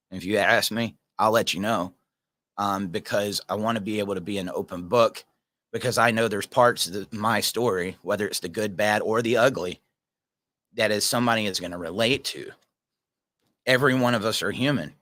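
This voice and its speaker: A man's voice: on the low side, bright, forceful tone, no echo. A man hosting a casual livestream, reading viewer comments and talking earnestly in a rambling way, addressing viewers by name.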